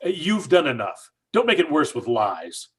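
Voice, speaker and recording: A man acting as a stubborn middle-aged father in an old-time radio chiller. His voice is fairly high and husky, swinging widely as he blusters and argues that it is all a hoax. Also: huge pitch swings, normal speed, exaggerated voice. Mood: scornful. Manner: blustering, combative. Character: stubborn, skeptical father, angrily sure of a hoax.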